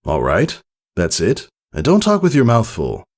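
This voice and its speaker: A pony father played by a male narrator speaks in a storybook tale. He is parental, kindly and firm, with very lively intonation. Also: medium pitch, normal speed, pitched above the performer's own voice. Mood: stern.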